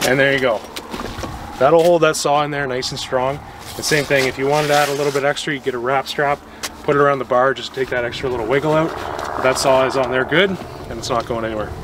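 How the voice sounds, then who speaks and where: Young guy showing how to strap gear on outside, talks loose while working, mic a few steps off, steady noise behind. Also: mid-range voice, fairly quick. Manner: relaxed, hands-on, stops and starts while working. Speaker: gear maker demonstrating a product. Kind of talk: casual how-to demonstration. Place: outdoors in snow beside a vehicle. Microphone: camera mic from a few steps away. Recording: distant outdoor mic with ambient noise.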